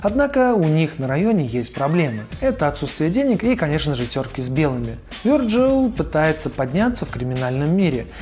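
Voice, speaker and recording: A man's voice: on the high side, darkish tone. A man narrating an informal scripted video essay as its host, in a colloquial, opinionated manner. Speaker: host of a cartoon retrospective video.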